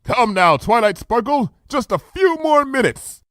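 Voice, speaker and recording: A man's voice: extremely high pitch, big pitch swings, unhurried, sharp tone, no echo, clean studio sound, pitched above the performer's own voice, full character voice. A man voicing a regal female pony princess who speaks in old-fashioned formal language and the royal we. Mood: grand.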